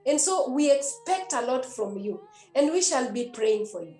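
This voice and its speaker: Nun, high voice, earnest and repetitive preaching to youth; roomy echo, faint music underneath. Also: female voice, animated, moderate pace, darkish tone. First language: a Kenyan language. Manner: earnest and repetitive, with many hesitations. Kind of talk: exhortation to young people.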